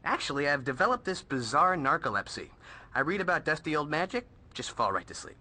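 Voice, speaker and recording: An actor playing a cocky, joking teenage sidekick with an American accent in a video game, full of sarcasm. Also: male voice, a little high, big pitch swings, a little fast, pushed and bright, exaggerated voice.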